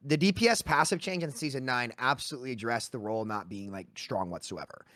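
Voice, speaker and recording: Very fast-talking man in his late twenties. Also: medium pitch, fairly bright tone, no room sound, clean studio sound. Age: late 20s. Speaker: podcast panellist. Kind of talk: opinionated casual gaming discussion.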